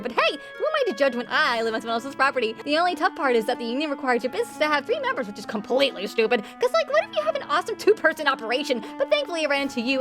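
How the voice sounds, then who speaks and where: A woman voicing a brash, chatty young thief in an anime parody, cheeky and breezy, prone to rambling. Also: high voice.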